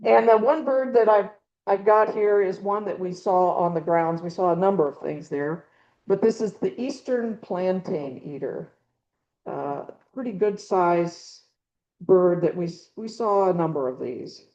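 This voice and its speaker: A woman's voice: fairly low pitch, a little slow, mellow, muffled recording. A middle-aged woman giving a casual slide presentation to a club, rambling with frequent ums and restarts. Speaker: presenter at a birding club talk. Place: meeting room with a small audience. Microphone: handheld or clip mic with a dying battery.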